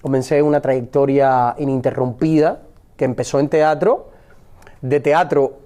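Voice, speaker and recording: A middle-aged Cuban man with a Cuban accent, answering interview questions in a measured, reflective way with frequent pauses and restarts. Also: medium pitch, fairly even intonation, warm tone. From Cuba. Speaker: actor and poet guest. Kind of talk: interview conversation.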